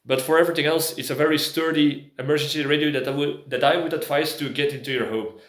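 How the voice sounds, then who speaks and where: Man with higher-pitched voice, echoey room. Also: steady tone, fast, fairly bright tone. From Belgium. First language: not English. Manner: hesitant, searching for words. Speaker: prepper video host. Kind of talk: casual product review talk.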